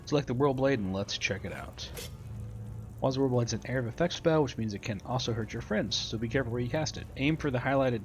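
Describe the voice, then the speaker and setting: A man's voice: mid-range voice, fast, faint music behind. A man giving casual, matter-of-fact gameplay commentary and reading tutorial text aloud as he plays. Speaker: gamer doing a playthrough.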